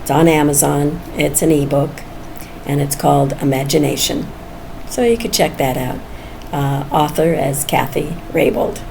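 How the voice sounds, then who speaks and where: A woman's voice: deep voice, moderate pace, vocal fry. A woman of almost seventy talking casually and candidly in a personal vlog, musing as she goes. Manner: candid, musing, chuckles often. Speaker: vlogger. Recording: noisy background ambience.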